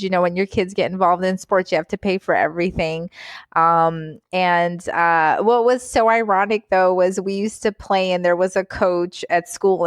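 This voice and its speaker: Woman from guyana, physician guest, lively storyteller, laughs a lot, dry close sound. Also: on the low side, no room sound. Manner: animated, laughs often, repeats words for emphasis. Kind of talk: casual interview storytelling.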